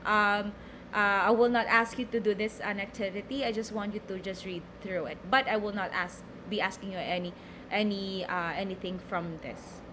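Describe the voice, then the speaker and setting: A woman's voice: mid-range voice, steady tone, moderate pace, noisy background. A young Filipino woman with a Filipino accent, teaching a recorded lecture, reading from slides and explaining with many fillers and repeated words. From Philippines.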